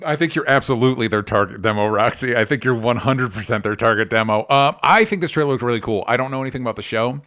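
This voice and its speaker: A male podcast host with a medium-pitched voice talks through pop culture in a casual way. He is opinionated and rambling, restarting and correcting his sentences as he goes, with some offhand swearing. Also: fast talker, few pauses, a bit bright.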